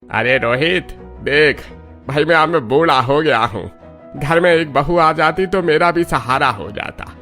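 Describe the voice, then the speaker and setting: A man's voice: on the high side, unhurried, sharp tone, soft background music. Plaintive old village father played by a man. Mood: coaxing. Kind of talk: Hindi cartoon dialogue.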